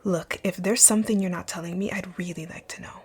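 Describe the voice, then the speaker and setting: A woman playing a rich, dominant boss in an ASMR roleplay, speaking in a curt, commanding way with a soft, breathy, husky voice. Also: fairly low pitch, steady tone, fairly quick. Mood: stern, probing. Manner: curt, commanding, breathy, often near a whisper. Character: rich, rude, dominant female boss, stern but secretly caring. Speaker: audio roleplay voice actor.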